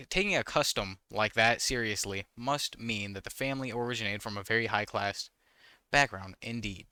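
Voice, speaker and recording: A man's voice: fairly low pitch, sharp tone, dry recording. A man reading visual novel text aloud with casual, wry commentary, sometimes stumbling over the words. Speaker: gaming YouTuber, host.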